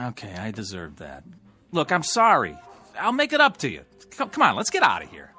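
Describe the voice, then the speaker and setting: An actor playing a boastful, easily riled pool shark obsessed with being the best, in an audio drama. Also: male voice, medium pitch, wildly swooping pitch, normal speed, pushed and bright, faint music behind. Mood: flustered, apologetic.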